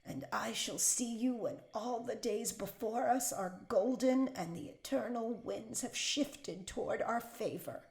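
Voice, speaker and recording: A woman playing a proud, devout older Puritan prisoner in a period audio drama, speaking solemnly and deliberately in archaic phrasing. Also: on the high side, creaky, a little mellow. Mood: cold resolve. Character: defiant, devout older imprisoned Puritan wife, proud and unbending. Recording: roomy echo.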